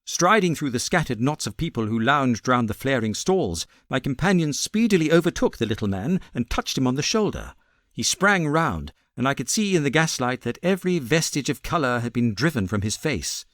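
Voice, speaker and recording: Expressive British male audiobook narrator. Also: mid-range voice, normal speed, no room sound, studio quality. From Britain. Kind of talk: expressive literary story narration.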